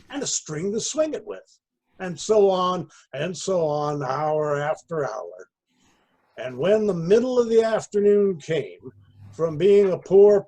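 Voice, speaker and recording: A man reading a literary story aloud as expressive narration, with deliberate storytelling pauses. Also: high-pitched, husky. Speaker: story reader.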